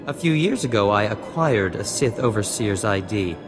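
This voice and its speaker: An actor playing a suave, boastful and flirtatious hacker in a video game, smooth-talking and theatrical. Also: male voice, lowish voice, lively intonation, moderate pace, music in the background, close to an everyday voice. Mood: self-assured. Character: suave, boastful male slicer, flirtatious and clever. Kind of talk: video game dialogue acting.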